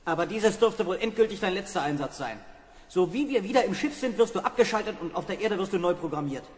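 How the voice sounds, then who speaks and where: A man playing a defensive, excitable spaceship officer in a German audio drama, with emphatic, argumentative diction. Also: high voice, fast talker. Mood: irritated, suspicious. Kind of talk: 1970s German children's audio drama acting. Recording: reverberant vintage audio drama.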